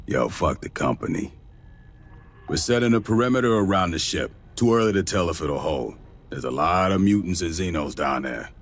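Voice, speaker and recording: A gruff marine commander in a video game. His voice is extremely deep and rough-edged. He briefs troops in blunt, slangy, sardonic fashion. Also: expressive, normal speed. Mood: wry, sardonic.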